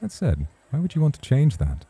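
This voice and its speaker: A man's voice: medium pitch, huge pitch swings, a little fast, dark tone, husky, exaggerated voice. A man acting in an ASMR roleplay as a suave, teasing club host, formal and flirtatious in his way of talking. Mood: smug, persuasive.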